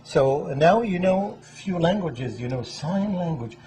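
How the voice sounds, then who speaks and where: A man with a soft-edged, mellow voice interviews as a guest host on a talk show. He repeats answers back and offers effusive praise. There is faint noise in the background of the recording. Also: on the high side, lively intonation, slow pace.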